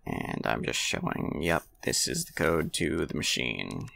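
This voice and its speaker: A man with a low, bright voice talks through a video game in a casual, offhand way, as if thinking aloud. There is some faint noise and clicking behind him. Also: lively intonation, measured pace, gravelly. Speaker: video game player and commentator. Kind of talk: casual gameplay commentary.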